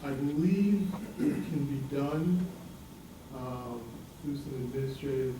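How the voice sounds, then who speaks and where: A man, a dean, answering a question at a meeting in a measured, careful way. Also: medium pitch, fairly even intonation, distant mic. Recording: roomy hall recording from afar, noisy.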